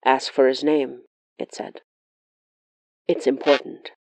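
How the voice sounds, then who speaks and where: Wry watchful sorceress, mellow tone, confiding audio diary narration, matter-of-fact, close dry sound. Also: female voice, rumbling low, little pitch movement, slow pace, no echo, exaggerated voice, rough recording. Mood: quoting firmly. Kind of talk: first-person audio diary narration in horror fiction.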